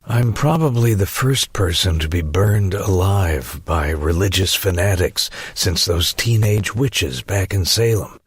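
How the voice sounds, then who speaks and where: A man's voice: deep voice, expressive, a little slow, hardly any pauses, breathy edge, dry recording, exaggerated voice. A man narrates as an aggrieved teenager in a storybook. The delivery is emphatic and projected, with wry sarcasm. The sound is close and dry. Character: sardonic, aggrieved teenager narrating a strange misfortune. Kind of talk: first-person audiobook narration.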